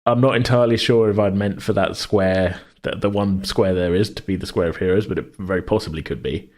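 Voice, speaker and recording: A man co-hosting a podcast in a casual worldbuilding chat, rambling as he thinks out loud. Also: low voice, a little fast. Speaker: podcast co-host.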